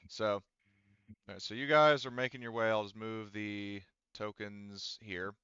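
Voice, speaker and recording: A man with a low, clean voice narrates a tabletop roleplaying session. His delivery is casual and halting, with pauses as he works things out. The sound is close and dry but slightly dull, like online voice chat. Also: fairly even intonation, bright, forceful tone, clear, resonant tone, dry recording. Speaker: game master. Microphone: online voice chat microphone.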